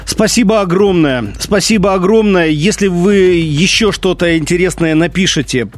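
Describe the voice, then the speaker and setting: A man's voice: high voice, moderate pace. A man hosting a live radio interview, asking polite questions with hesitant fillers. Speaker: radio host.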